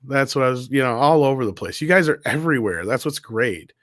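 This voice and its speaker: A man's voice: mid-range voice, animated, a little fast, fairly bright tone, no room sound, studio quality. A man co-hosting a livestream, chatting informally and reading out viewer comments, rambling and swearing freely. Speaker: co-host of an online panel show.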